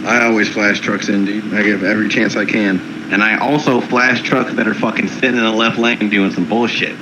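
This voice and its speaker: A man's voice: on the low side, fairly even intonation, a little fast, background noise. A man from Maryland chatting casually on a stream, laughing often and swearing. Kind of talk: casual chat during a game stream.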